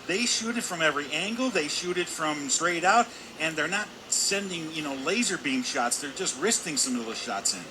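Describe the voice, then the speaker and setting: A man's voice: a little high, expressive, normal speed, few pauses. A man giving conversational, emphatic color commentary on a live hockey game, folksy and repeating his points. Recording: clear background noise, arena ambience.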